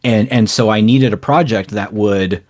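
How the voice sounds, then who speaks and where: A man's voice: on the low side, normal speed, darkish tone. A man giving casual, explanatory interview answers in long, rambling, informal runs as a guest. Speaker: interview guest, small indie publisher.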